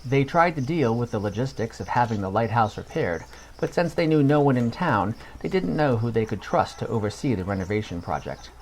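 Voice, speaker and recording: A man narrating a scripted fantasy story as a podcast storyteller, in a measured reading manner. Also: medium pitch, expressive, darkish tone, slight background noise, rough recording.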